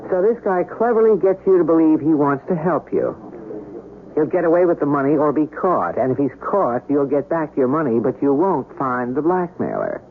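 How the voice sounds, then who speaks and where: A man's voice: on the high side, big pitch swings, moderate pace. Blunt suspicious man, old radio sound. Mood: scornful, insistent. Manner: blunt, insistent. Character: blunt, suspicious man advising a blackmailed woman. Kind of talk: vintage radio drama acting. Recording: narrow old radio recording, faint noise.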